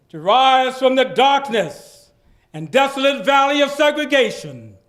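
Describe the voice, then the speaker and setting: A man performing a dramatic reading as an impassioned civil rights orator, declamatory and solemn with drawn-out phrases. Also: very high-pitched, a bit bright, exaggerated voice. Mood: urgent, impassioned. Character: impassioned male civil rights orator addressing a great crowd. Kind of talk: dramatic reading of a 1960s oration.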